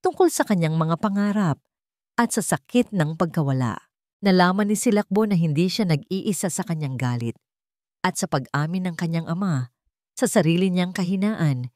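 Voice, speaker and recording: A woman's voice: fairly low pitch, a little mellow, dry recording. Woman narrating a story, close dry sound. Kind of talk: scripted dramatic narration of a romance story.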